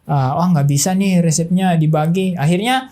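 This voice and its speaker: A man's voice: fairly high pitch, moderate pace, dark tone. A man talking as a guest chef in a casual chat, hesitant, with frequent fillers and restarts.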